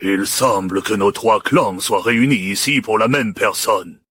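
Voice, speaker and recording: Husky-voiced menacing clan patriarch played by a man. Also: fairly low pitch, unhurried, fairly bright tone. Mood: scheming. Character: menacing male clan patriarch, vengeful and gloating villain. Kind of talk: dubbed animated drama.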